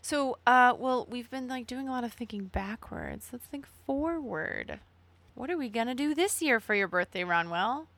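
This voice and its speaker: Gravelly-voiced young woman, upbeat co-host. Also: a little high, animated, moderate pace, fairly bright tone, no echo. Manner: upbeat, laughs often, leads the questions. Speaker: podcast co-host. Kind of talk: casual chat.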